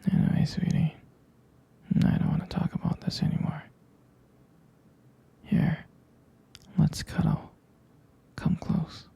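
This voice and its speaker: A man playing a jealous, protective, tender boyfriend in an intimate ASMR roleplay, speaking softly and hesitantly in a breathy, husky, gravelly whisper. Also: close to an everyday voice. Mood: tender, nostalgic. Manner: slow, hesitant, soft-spoken.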